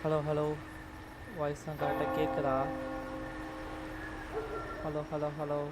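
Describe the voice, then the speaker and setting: A man's voice: fairly high pitch, completely flat delivery, very fast, loud music behind the voice, rough recording. A man, a native Tamil speaker, giving casual live gameplay commentary as a streamer. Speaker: game streamer.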